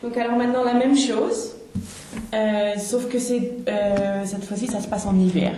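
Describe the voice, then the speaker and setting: Woman examiner, measured and hesitant, lots of fillers, mellow tone, roomy echo. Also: medium pitch, fairly even intonation, slight background noise. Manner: measured and hesitant, frequent fillers and murmured backchannels. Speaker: oral exam examiner. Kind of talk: language exam role-play.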